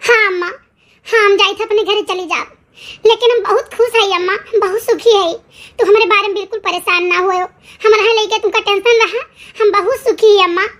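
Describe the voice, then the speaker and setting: A performer voicing a sharp-tongued village woman in an Awadhi-accented cartoon comedy, emphatic and given to repeating phrases. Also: extremely high pitch, steady tone, fairly quick. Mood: earnest, reassuring. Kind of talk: cartoon comedy dialogue in rural dialect.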